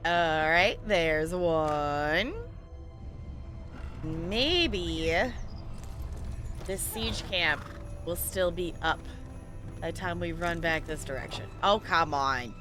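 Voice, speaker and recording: Bright, unhurried woman streaming a game. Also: fairly low pitch, soft background music. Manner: conversational, explains game as she plays. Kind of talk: casual live gameplay commentary.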